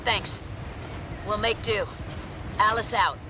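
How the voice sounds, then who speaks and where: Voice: mid-range voice, slow, noisy background, lightly acted. An actor playing a tough, determined supersoldier in a video game, with a clipped, resolute military delivery. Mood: businesslike. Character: tough, determined supersoldier leading rescued troops. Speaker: soldier.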